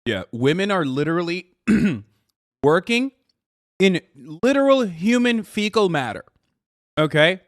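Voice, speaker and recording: A man's voice: high voice, very animated, takes it slowly, pauses often, no echo, clean studio sound. A man streaming, reacting to clips with casual, exasperated, profane commentary. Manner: exasperated, sarcastic, swears a lot.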